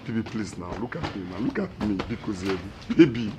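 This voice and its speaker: A man's voice: fairly low pitch, lively intonation, fairly quick, background noise. A man playing a husband who keeps begging his wife and mother to stop. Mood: pleading. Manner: begging, repetitive. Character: pleading husband caught between mother and wife.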